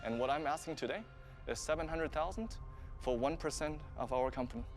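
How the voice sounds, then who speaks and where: A man in his thirties from Germany with a German accent, pitching his startup to investors in an earnest, explanatory way. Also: a little fast, soft background music. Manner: earnest, explanatory, steady delivery.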